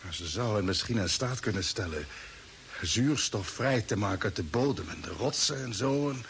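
An actor playing a questioning, sceptical spaceship commander in an old radio play, with halting repeated words. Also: male voice, lowish voice, animated, fairly bright tone. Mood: thoughtful. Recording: hissy vintage radio drama recording.

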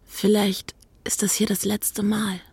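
A woman playing a wistful, searching lover in an intimate radio drama, speaking in a hushed, breathy half-whisper. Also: medium pitch, little pitch movement, takes it slowly, dry recording, exaggerated voice.

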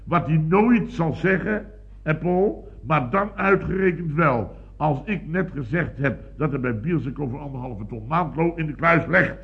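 An actor playing a blustering, boastful building contractor boss in a radio comedy, telling stories in a rambling, exclamatory, repetitive way. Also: male voice, on the high side, expressive, normal speed, gravelly. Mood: exasperated. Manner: rambling, repetitive, exclamatory storytelling with tag questions. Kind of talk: 1970s radio comedy acting. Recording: dull, lo-fi old radio recording.

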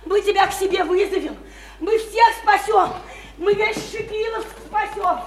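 Frantic small-town woman in a radio play, super high voice, gushy, repeats herself, roomy echo. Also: measured pace, a little hiss or hum. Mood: giddy excited. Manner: gushing, repetitive, colloquial, hysterically elated. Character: overwrought, pleading provincial woman desperate to escape her town. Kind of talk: theatrical Russian radio play acting. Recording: roomy, echoing stage-like radio play recording.

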